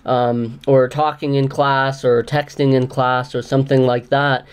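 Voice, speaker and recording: Male podcast host, mid pitch, measured and careful as an interviewer. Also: level tone, clear, resonant tone. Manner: measured, careful follow-up questions. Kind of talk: interview questions.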